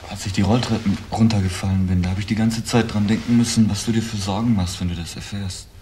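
A man's voice: on the low side, steady tone, quick pace, mellow, close to an everyday voice. An actor playing an anxious, insistent young man in a radio crime drama. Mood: subdued, apologetic. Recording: noisy, echoey hall-like reverb.

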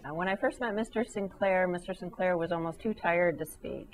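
A middle-aged woman, an advanced practice nurse, speaking calmly and sincerely in a clinic exam room. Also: fairly low pitch, a little fast. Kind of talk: interview testimonial.

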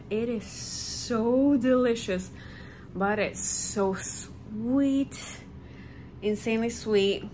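Female travel vlogger, thirties, medium pitch, unhurried informal delivery, recorded at arm's length indoors. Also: poor audio. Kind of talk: casual chat to camera. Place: small indoor hotel room. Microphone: phone or camera at arm's length. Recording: noticeable background noise.

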